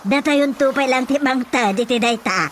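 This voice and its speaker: A woman's voice: high voice, moderate pace, a little hiss or hum, lightly acted. A woman with an Ilocano accent playing a fussy, practical, scolding mother in a radio drama comedy, grumbling and emphatic.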